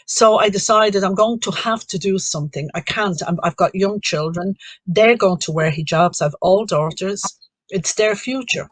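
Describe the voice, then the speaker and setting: A middle-aged woman with a strong Dublin accent talks earnestly as a panel guest. She pauses often between thoughts. Her voice sounds dry and close. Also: medium pitch, no room sound. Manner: earnest, personal, with frequent pauses and "um". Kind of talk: live community discussion.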